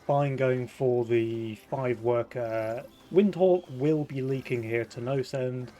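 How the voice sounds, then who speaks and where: A young man hosts a live esports broadcast in a mid-pitched voice, keeping the show moving. He is upbeat and laughs easily with his co-caster. Soft music plays faintly behind. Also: takes it slowly, dull audio.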